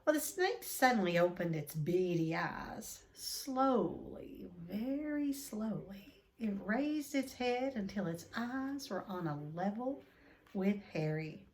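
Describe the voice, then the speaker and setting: Slow-paced woman reading a children's story. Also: medium pitch, expressive. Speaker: read-aloud storyteller. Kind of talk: expressive children's book reading.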